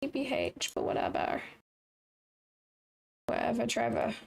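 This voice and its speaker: A young woman with a raspy voice, chatting casually and thinking aloud as she hosts a live trading stream. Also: fairly high pitch, huge pitch swings, unhurried, noisy background. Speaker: trading livestream host.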